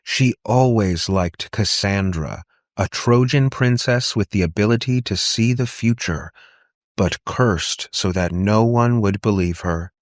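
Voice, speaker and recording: A man's voice: on the low side, a little slow, no room sound, clean studio sound, lightly acted. A man voicing a conciliatory aunt in an audiobook, tentative and anxious to connect. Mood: coaxing. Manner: tentative, placating. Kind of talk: audiobook character dialogue.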